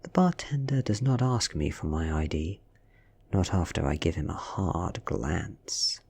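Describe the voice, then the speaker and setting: A British man reads a story in a hushed ASMR style. His voice is very breathy and whispery, sitting low in pitch. He takes his time with each phrase, slow and deliberate. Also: lively intonation. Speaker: audiobook reader. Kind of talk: soft-spoken ASMR story reading.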